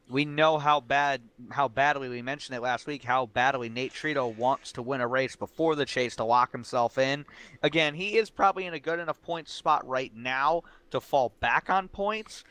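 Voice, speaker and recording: A man's voice: mid-range voice, bright, forceful tone, no echo. A man doing live sim racing commentary as a host, mixing analysis with casual banter.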